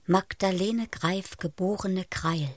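A woman's voice: on the low side, slow pace, faint music behind. A woman narrating a scripted German radio play in short, clipped phrases.

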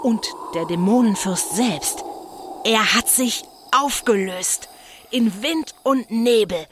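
A woman playing an excitable eyewitness narrator in a children's spooky audio drama, telling it as suspenseful live commentary. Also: fairly high pitch, slow pace, background noise. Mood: suspenseful, awed. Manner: suspenseful, shifting from whispers to cries. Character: excitable, fearful female eyewitness narrator of a ghost battle.

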